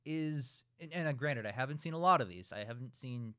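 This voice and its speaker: A man co-hosting a relaxed, conversational discussion of films. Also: mid-range voice, fairly quick. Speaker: podcast co-host. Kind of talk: casual film discussion.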